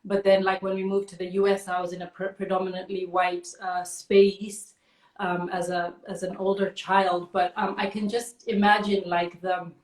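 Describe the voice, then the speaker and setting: A woman's voice: mid-range voice, flat delivery, moderate pace. A woman born in Kenya hosting an interview, speaking thoughtfully and reflectively with frequent "um" and "you know.". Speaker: interview host. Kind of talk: interview conversation. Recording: echoey room.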